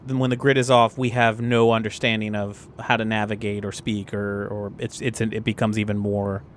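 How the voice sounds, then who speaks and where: A man's voice: fairly low pitch, level tone, moderate pace, slight background noise. A man playing a tabletop role-playing game, chatting casually and tossing in joking, conversational asides. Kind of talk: casual chat and improvised role-play.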